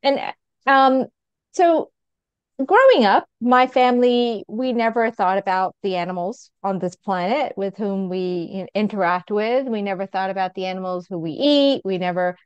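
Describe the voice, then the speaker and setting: A middle-aged woman with an American accent talks earnestly as an interview guest, laughing easily and using fillers. Her voice is smooth and clear. The sound is dry and slightly dull. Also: medium pitch, normal speed, no echo. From England. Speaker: guest, physician. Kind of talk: conversational interview answer.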